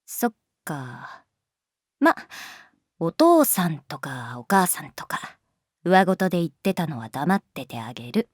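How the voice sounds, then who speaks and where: A woman's voice: deep voice, sing-song, measured pace, dry recording, clean studio sound, exaggerated voice. A woman playing a laid-back adult schoolteacher in a Japanese voice drama, talking in a casual, nonchalant, teasing way. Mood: calm, probing. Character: wry, laid-back adult female schoolteacher, quietly indulgent. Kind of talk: Japanese voice drama acting, natural dialogue.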